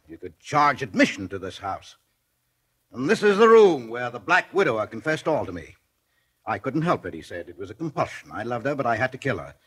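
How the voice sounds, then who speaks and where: A man's voice: mid-range voice, fairly bright tone. An actor playing a bitter, brooding middle-aged man accused of murder, with a sardonic manner, in 1940s radio drama style. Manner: sardonic, mocking. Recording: husky-sounding vintage 1940s radio recording.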